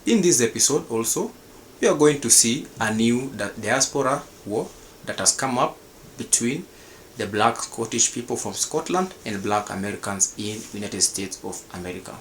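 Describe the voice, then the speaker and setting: A man hosting his own channel, reading a formal, repetitive script with pauses and restarts. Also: mid-range voice, expressive, a little hiss or hum, low-quality recording. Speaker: channel host. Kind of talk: scripted reaction-channel narration.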